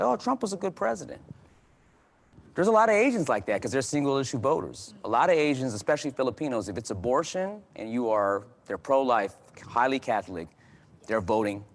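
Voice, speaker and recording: A man speaking as a guest in a panel discussion, earnest and conversational, often repeating and restarting words as he gets more animated. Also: fairly low pitch. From United States.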